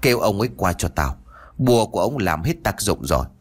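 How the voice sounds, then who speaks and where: A sharp-tongued young mistress snaps orders and whines, as a man plays her in a spoken horror tale. The husky voice leaps around in pitch. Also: sing-song, brisk. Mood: cold, bossy. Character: sharp-tongued, cruel young daughter of the house.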